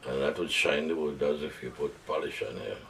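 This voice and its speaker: Very deep, gravelly older Jamaican man. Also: fairly even intonation, a bit bright, poor audio. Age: 70s. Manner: unhurried, reflective storytelling with hesitations. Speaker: interviewee. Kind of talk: oral history recollection.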